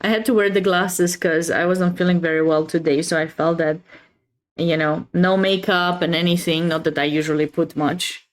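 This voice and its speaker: A woman's voice: lowish voice, fairly even intonation, moderate pace. A woman with a Romanian accent hosting a chess livestream, chatting casually and expressively with viewers between bits of commentary.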